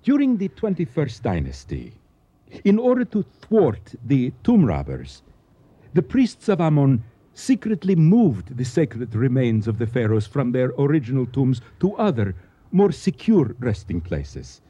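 A learned, nostalgic gentleman in a radio drama, speaking in florid, courteous phrases. The voice is deeply mellow and husky, with lively swoops of pitch. The recording is somewhat dull. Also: a little slow, dry recording, exaggerated voice. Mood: absorbed storytelling. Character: courtly Egyptian man, learned, nostalgic storyteller.

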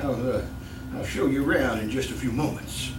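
An actor playing a shifty, fussy employer with sinister secrets in old-time radio style, stammering, chuckling nervously and repeating himself. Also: male voice, mid-range voice, moderate pace, background noise, lightly acted. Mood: unctuous, chuckling. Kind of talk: old-time radio acting before a live audience. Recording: distant, echoey live stage with music.

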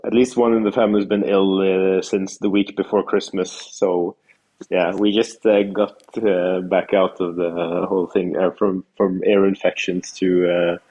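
A man's voice: deep voice, level tone, moderate pace, mellow, slightly rough, low-quality recording. A Norwegian man from the west coast, a podcast guest speaking English with a Norwegian accent in a matter-of-fact way. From west coast of Norway. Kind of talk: casual chat over a call.

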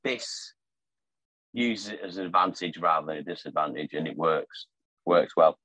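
A man's voice: deep voice, normal speed, bright, forceful tone, no echo. A man co-hosting a film podcast, chatting casually in short, piecemeal phrases, on dull audio. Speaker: film review podcast co-host.

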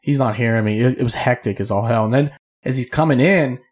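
A hoarse man co-hosting a podcast, telling a hunting story in a rambling, casual way with quick laughs. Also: mid-range voice. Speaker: podcast co-host. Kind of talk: casual chat among friends.

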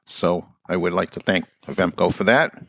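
A man hosting a podcast, reading a sponsor message in a steady, plain way and then moving on to the show. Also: deep voice, normal speed, no echo. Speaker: podcast host.

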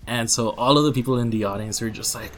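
A man hosting a casual interview, relaxed and playful, who drops Spanish words into his talk. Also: mid-range voice, fast. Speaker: podcast host.

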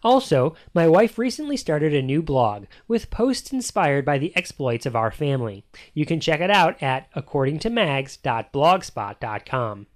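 A man's voice: on the high side, very animated, moderate pace. A man hosting a podcast, reading announcements and sign-off notes while addressing listeners in a friendly, direct way. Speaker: podcast host.

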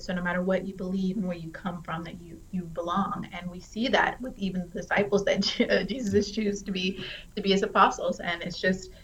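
A woman speaks earnestly and with feeling as an interview guest. The recording sounds slightly dull, with faint noise underneath. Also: medium pitch. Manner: earnest and heartfelt. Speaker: actress guest. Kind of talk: casual interview answers.

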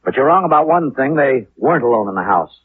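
An actor playing a slick, cynical American city attorney in a 1950s radio drama, speaking in a wry, glib, knowing way. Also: male voice, mid-range voice, sing-song, fast talker, close to an everyday voice. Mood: wry, insistent. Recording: tinny, noisy 1950s radio recording.

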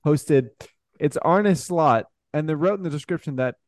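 A man's voice: a little high, normal speed, dry recording, clean studio sound. A man co-hosting a podcast in casual, amused conversation about soccer. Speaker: podcast co-host.

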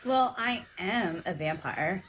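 Sullen gothic schoolgirl vampire played by a woman, comic storybook, curt lines, slow delivery, lively intonation. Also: on the low side, dull audio, a little hiss or hum. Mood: moody. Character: moody gothic teenage girl vampire.

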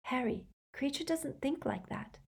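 Woman doing a compassionate teen girl, high voice, emotional, earnest, pleading, storybook dialogue. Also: measured pace. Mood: earnest explaining.

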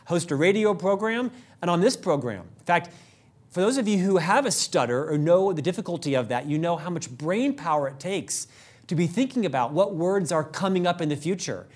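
A middle-aged man hosting a leadership show, talking fast and upbeat in a prepared monologue, with an occasional stutter on a word. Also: high-pitched, lively intonation. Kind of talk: scripted monologue to camera.

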